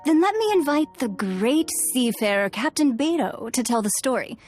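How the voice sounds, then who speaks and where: A woman's voice: high-pitched, very animated, moderate pace, darkish tone, faint music behind. An actress playing a poised, aristocratic female ruler in a video game, formal, composed and coolly teasing.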